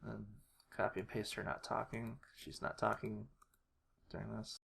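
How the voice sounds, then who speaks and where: Someone giving an informal editing walkthrough, talking haltingly and muttering to themselves while working. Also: mid-range voice, little pitch movement, creaky, fairly bright tone, breathy edge. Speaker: tutorial creator. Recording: noisy home recording, keyboard and clicks. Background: mouse clicks and keyboard typing.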